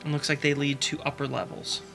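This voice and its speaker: A man's voice: fairly high pitch, level tone, brisk, vocal fry, over music. A man running a tabletop game as game master, sniffly from allergies, describing scenes with plenty of fillers. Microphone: desk microphone.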